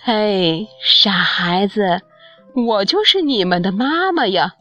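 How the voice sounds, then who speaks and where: A woman's voice: fairly high pitch, very animated, measured pace, dull audio, faint music behind. Reassuring frog mum for a children's tale played by a woman, affectionate, leisurely delivery. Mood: amused, tender. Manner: tender, reassuring. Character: mother frog, tender, amused and reassuring. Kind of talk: children's storybook character voice.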